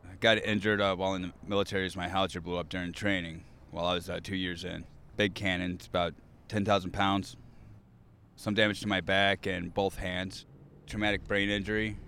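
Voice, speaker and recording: A man's voice: low voice, flat delivery, a little fast, bright, forceful tone, smooth. A man, a camp security co-commander, giving matter-of-fact interview testimony outdoors.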